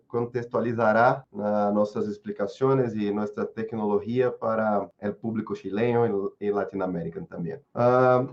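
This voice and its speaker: A Brazilian man presents in a slow, halting way, stopping often to restart. His voice sits at an ordinary pitch. The sound is dull and lo-fi, like audio from a web call. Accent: Brazilian Portuguese. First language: Portuguese. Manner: halting, with many restarts and fillers. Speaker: guest speaker, researcher. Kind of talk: webinar presentation.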